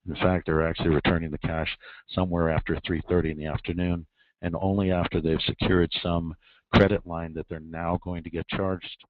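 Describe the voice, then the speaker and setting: A man explaining financial markets on a conference call, in a measured way with frequent ums and uhs. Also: low voice, no echo. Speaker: repo market expert guest. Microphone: telephone. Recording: tinny phone line.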